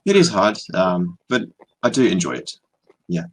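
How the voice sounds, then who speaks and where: Young Australian male tutor, very low husky voice, laid-back and halting on a video call. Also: low-quality recording. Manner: relaxed, hesitant, many ums and restarts. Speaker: online English tutor. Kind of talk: casual video-call conversation.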